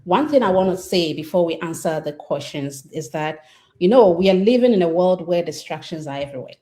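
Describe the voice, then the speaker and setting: Woman from Ghana, panel host, lowish voice, mellow tone, measured pace with fillers. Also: lively intonation. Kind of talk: live online panel discussion.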